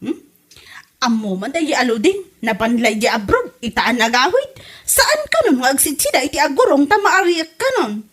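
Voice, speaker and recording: A woman playing a gossipy, chatty village neighbour in a comedy audio drama, in an animated manner. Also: sits high, moderate pace. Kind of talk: comedy radio drama acting.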